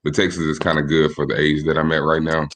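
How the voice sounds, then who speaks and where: A man in his late twenties, from Michigan, speaking as a podcast guest, relaxed and a bit hesitant with restarts. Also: extremely low pitch, fast. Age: 20s. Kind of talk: casual interview chat.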